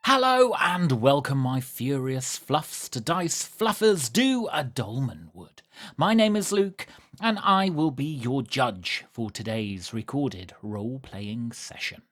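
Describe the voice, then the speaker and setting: A man's voice: medium pitch, big pitch swings, slow, a bit bright. A man hosting and judging a tabletop roleplaying game in a chatty, jokey, self-deprecating way. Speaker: host and game master.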